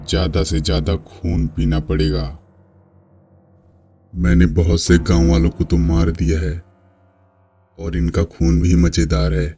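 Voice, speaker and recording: A man voicing a menacing, gloating female witch who drinks blood, in a horror cartoon, speaking in slow threats. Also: low voice, dark tone. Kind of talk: Hindi horror cartoon voice acting.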